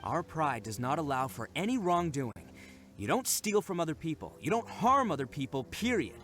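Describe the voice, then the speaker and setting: Proud young male oni, video game character, emphatic, earnest, very lively intonation, slightly high pitch. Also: moderate pace, soft background music. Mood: proud, resolute. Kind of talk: scripted video game voice acting.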